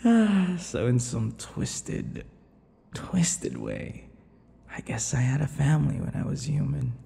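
A man playing a brooding, unhinged male vampire in an intimate ASMR roleplay, breathy and halting, often dropping to a whisper. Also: on the high side, heavily acted. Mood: smug, twisted. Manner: slow, halting, dramatic pauses and dark chuckles. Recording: long reverberant echo.

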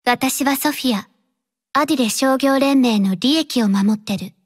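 An actress voicing a reserved, dutiful young female mercenary in an anime-style mobile game, speaking in a quiet, terse, halting and earnest way. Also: high voice. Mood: soft, reserved.

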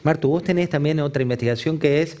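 Argentine tv host running a panel, upbeat, chimes in a lot. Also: male voice, fairly high pitch, quick pace, darkish tone. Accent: Argentine Spanish. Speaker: TV host, moderator. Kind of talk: moderating a panel. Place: TV studio set.

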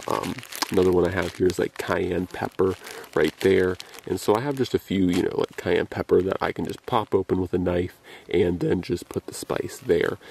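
Low, monotone young man, gravelly. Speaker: outdoor vlogger. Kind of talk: casual explanatory talk. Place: outdoors in woods. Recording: faint background noise outdoors.

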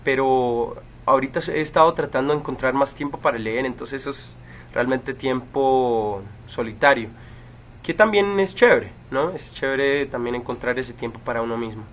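A man's voice: medium pitch, a little hiss or hum. Young man chatting on a webcam. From Chile. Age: 20s. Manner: relaxed, many fillers and pauses. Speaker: actor answering fan questions. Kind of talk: casual livestream chat. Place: home room with bookshelves, indoors.

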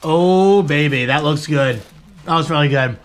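A man in his twenties with an American accent and a slightly raspy voice, chatting casually as he vlogs on a handheld camera. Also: on the high side, a little slow. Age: 20s. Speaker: vlogger. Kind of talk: casual chat to camera and family. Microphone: handheld camera at arm's length. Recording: noisy handheld action-camera vlog audio.

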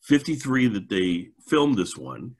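Guy hosting a podcast, middle aged, lowish husky voice, just chatting. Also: big pitch swings, measured pace. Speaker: podcast host. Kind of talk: casual podcast discussion. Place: indoors, on video call.

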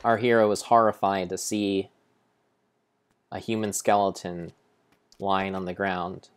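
A young man narrating gameplay as a solemn, deliberate, theatrical story. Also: low voice, level tone, clear, resonant tone. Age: young adult. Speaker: game streamer. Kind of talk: dramatic storybook-style narration over gameplay.

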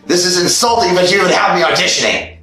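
A man voicing a tiny, hot-tempered male killer doll who shouts and takes offense at everything. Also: high-pitched, fast talker. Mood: insistent. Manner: indignant, shouting, easily insulted. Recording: echoey room, faint music.

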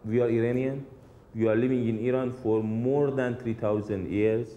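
A man in his forties with a Persian accent, a hospital director speaking earnestly and deliberately in an interview in an office. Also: medium pitch, steady tone, a little hiss or hum. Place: office, indoors. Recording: dull roomy office sound.

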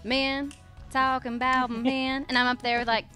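A woman's voice: sits high, flat delivery, moderate pace, sharp tone. A woman, a singer telling stories in a casual interview at a festival. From Texas. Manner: chatty, storytelling, laughs often. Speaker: country singer. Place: festival backstage area. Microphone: handheld interviewer mic. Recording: music playing behind. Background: music.

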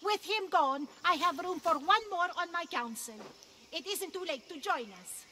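A woman's voice: very high voice, lively intonation, normal speed, pushed and bright, long reverb tail, slight background noise, exaggerated voice. An actress playing a sinister, fanatical older priestess in a video game, grand and theatrical, cruel yet falsely motherly. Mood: mocking, menacing. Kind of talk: dramatic video game acting.

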